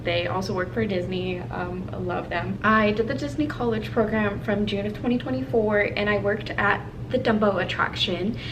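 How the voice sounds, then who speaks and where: Chatty American woman vlogger, noisy recording. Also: mid-range voice. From Hawaii, US. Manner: chatty, informal, thinking aloud. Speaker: video blogger. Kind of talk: casual chat to camera while doing makeup. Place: indoors in an apartment. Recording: clearly noisy home recording.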